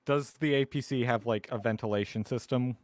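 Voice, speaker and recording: Guy playing tabletop rpg, casual chat, mid pitch, dry close sound. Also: fairly quick, no echo. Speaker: tabletop game player.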